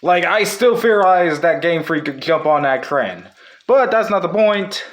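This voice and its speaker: A man's voice: a little high, animated, a little slow. A man hosting a casual, unscripted video essay, talking in a rambling way that often backtracks and corrects itself. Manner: rambling, self-correcting, hedging. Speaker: video host.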